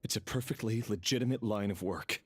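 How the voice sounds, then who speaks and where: A man playing a gloomy, weary intelligence operative in a video game, dutiful and defensive in a brooding, halting way. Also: lowish voice, breathy edge. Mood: defensive, insistent. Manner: brooding, halting, self-justifying. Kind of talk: dramatic video game acting.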